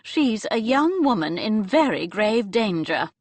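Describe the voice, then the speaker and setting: A woman narrator voicing an elderly aristocratic dowager duchess who speaks in a lofty, brisk, upper-class manner. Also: medium pitch, very animated, darkish tone, dry recording, voice pushed up. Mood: firm.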